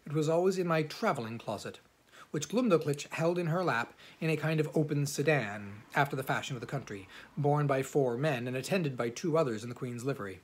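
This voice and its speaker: A man reading an old classic novel aloud as an amateur audiobook narrator, in a measured, formal storytelling manner with a husky voice. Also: a little high.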